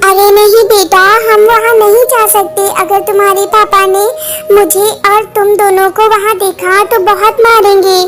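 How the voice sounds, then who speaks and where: Woman as a very high-pitched pleading mother bird. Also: flat delivery, unhurried. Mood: protective, worried. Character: timid, pleading young mother bird, devoted to her chicks. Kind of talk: Hindi animated moral story dialogue. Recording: music behind the voice.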